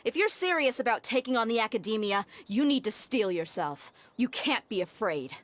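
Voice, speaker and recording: Bold female mercenary, fairly high voice, blunt, firm, challenging, dramatic game dialogue, faint noise. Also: big pitch swings, moderate pace. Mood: firm, persuading. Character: bold, level-headed mercenary woman, loyal and blunt. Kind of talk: dramatic video game voice acting.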